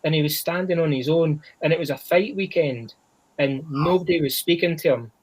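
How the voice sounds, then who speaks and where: A man's voice: fairly high pitch, moderate pace, breathy edge, poor audio. A Scottish man on a boxing podcast, chatting casually with a lot of restarts and "you know"s. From Scotland. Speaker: boxing podcast panelist named Chris. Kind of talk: casual chat.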